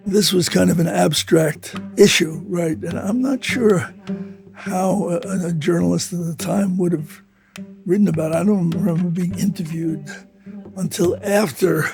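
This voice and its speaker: An older man with a hoarse, raspy voice, speaking reflectively in an interview in his office. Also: high voice. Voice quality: raspy, gravelly. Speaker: retired biology professor.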